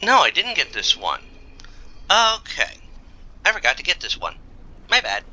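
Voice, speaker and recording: Bright-voiced man, casually muttering through a game. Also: on the high side, huge pitch swings, measured pace, slight background noise. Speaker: video game player. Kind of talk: casual playthrough commentary.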